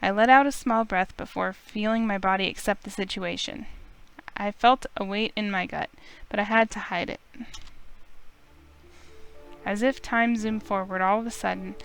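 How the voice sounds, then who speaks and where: Young heiress heroine played by a woman: smooth, clear, earnest, anxious visual novel narration over music. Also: medium pitch, fairly even intonation, normal speed, long pauses, natural voice, low-quality recording. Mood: uneasy, reflective. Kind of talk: scripted first-person visual novel narration.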